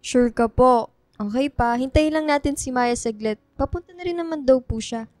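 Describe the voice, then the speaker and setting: A woman's voice: high-pitched, normal speed, darkish tone, dry recording, lightly acted. A woman playing a sweet, playful college-age daughter in a Filipino radio drama, polite and lightly pleading. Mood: polite, fussing.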